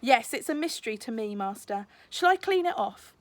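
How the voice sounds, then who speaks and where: A woman's voice: fairly high pitch, lively intonation, normal speed, dry recording, natural voice. A woman voicing a cheeky young servant boy in a storybook, chatty and full of feigned innocence. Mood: blithe, excusing.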